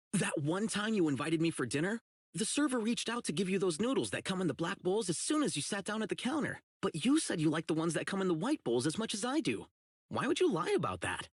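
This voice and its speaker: A man's voice: high-pitched, husky, dry recording, studio quality, heavily acted. An actor playing a blunt, earnest young policeman who is socially oblivious, in video game voice lines. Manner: blunt, earnest, literal-minded. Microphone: close studio mic, isolated dry voice track.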